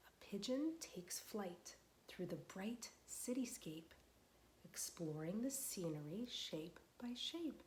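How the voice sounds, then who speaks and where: A young woman librarian leading a storytime for young children, asking questions in a sing-song voice and dropping to soft whispers for suspense. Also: medium pitch, lively intonation, slow, slight background noise, low-quality recording.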